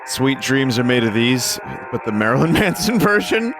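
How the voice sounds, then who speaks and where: A man's voice: mid-range voice, big pitch swings, normal speed, rough recording. A man hosting a livestream, chatting casually and wryly as he reacts to recordings. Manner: wry, joking. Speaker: streamer hosting a listening session. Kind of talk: casual live commentary, chatting with viewers. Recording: music playing behind the voice. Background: radio recordings and music.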